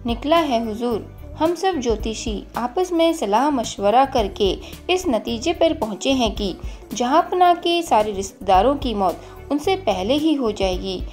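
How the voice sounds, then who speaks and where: A woman's voice: on the high side, lively intonation, measured pace, darkish tone, soft background music. A woman voicing a blunt male court astrologer, reporting formally and solemnly in a storybook tale. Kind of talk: storybook character dialogue.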